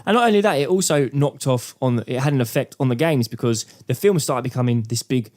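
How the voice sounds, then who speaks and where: A man's voice: mid-range voice, expressive, brisk, darkish tone. A man chatting casually as a podcast co-host. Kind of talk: casual chat.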